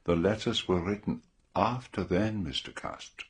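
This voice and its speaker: Casting: a grave radio-drama detective, low and husky, formal, deliberate, probing questions. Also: male voice. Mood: probing, calm. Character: methodical, probing older male detective, calm and grave.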